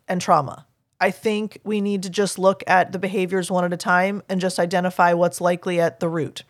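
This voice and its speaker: A woman with a low speaking voice, hosting a teaching podcast and answering a listener's email in an earnest, explanatory way. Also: no room sound, studio quality. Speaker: podcast host.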